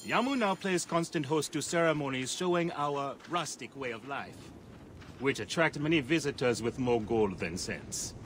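An actor playing a genial middle-aged temple priest, courteous and effusive. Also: male voice, medium pitch, lively intonation, normal speed, background noise, close to an everyday voice.

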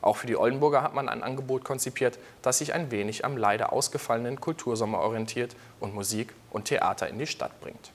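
A man's voice: mid-range voice, fast, few pauses. A man in his twenties presenting scripted news in a studio.

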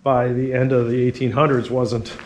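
A man's voice: mid-range voice, little pitch movement, normal speed, darkish tone. A middle-aged man giving an easygoing, casual walking tour commentary outdoors, thinking aloud as he goes. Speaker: hiking vlogger. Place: outdoors walking around an old fort. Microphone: 360 camera held at arm's length. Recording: windy outdoor camera, dull muffled sound.

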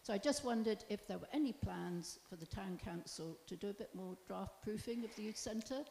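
Older woman from the public, gravelly voice, chatty, echoey hall with faint hiss. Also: medium pitch, moderate pace, dark tone. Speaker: member of the public.

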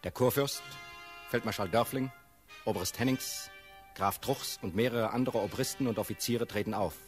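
A man's voice: on the low side, normal speed. A man reading stage directions as scripted narration for a radio play. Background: instrumental music.

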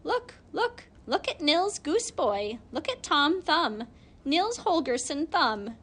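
A woman's voice: high-pitched, big pitch swings, slow pace, fairly bright tone, voice pushed up, heavily acted. A woman voicing a flock of sparrows, chirpy and teasing.

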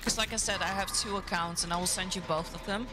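A woman's voice: fairly low pitch, fairly even intonation, quick pace, noisy background. A woman whose first language is Dutch, chatting casually on a live stream while playing a game. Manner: chatty, reacts aloud to the match. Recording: game music in the background.

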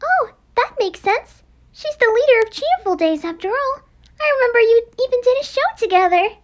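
A woman's voice: very high-pitched, animated, moderate pace. A woman voicing a shy, starstruck teenage girl in an anime game, stammering and gushing. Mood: chatty. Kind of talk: amateur anime game voice acting.

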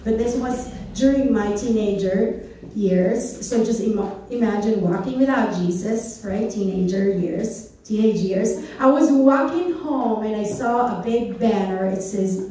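A woman's voice: a little high, warm tone. Woman speaking in an echoey hall. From Philippines. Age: 30s. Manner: chatty, asks the audience questions. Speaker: church speaker. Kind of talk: informal church message. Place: church hall, on a small stage. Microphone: handheld mic, recorded from far back. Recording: echoey hall, distant phone camera.

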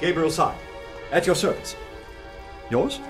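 An actor playing a witty, self-assured young poet in a radio play, courteous, dry and eloquent. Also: male voice, fairly high pitch, lively intonation, measured pace, music in the background. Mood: bantering, courteous. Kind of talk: full-cast radio play acting with first-person narration.

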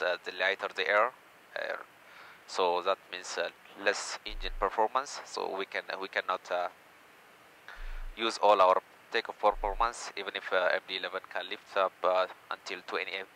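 A man's voice: sits low, bright, forceful tone, slight background noise, low-quality recording. A man working as an airline first officer, briefing the flight in a hesitant way with fillers, recorded in a cockpit.

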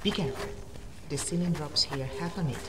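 A woman's voice: low voice, fast talker, lightly acted. A woman playing a headstrong, curious cave explorer in an audio drama, speaking in an eager, goading, dramatic way. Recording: echoey cave-like reverb, distant, faint noise.

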